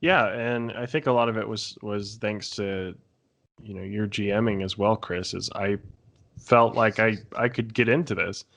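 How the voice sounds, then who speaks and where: Guy with a lowish voice on a podcast panel, casual, pauses a lot while explaining. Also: fairly even intonation, gravelly, fairly bright tone. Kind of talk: casual chat about gaming.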